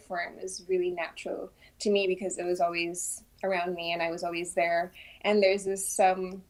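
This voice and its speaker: A woman from Trinidad in her thirties talks through her background in a relaxed interview. She speaks softly and thoughtfully, with frequent ums and pauses, at an average pitch. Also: rough recording. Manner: soft-spoken, many ums, thoughtful. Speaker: guest, principal ballet dancer. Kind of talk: casual interview answers.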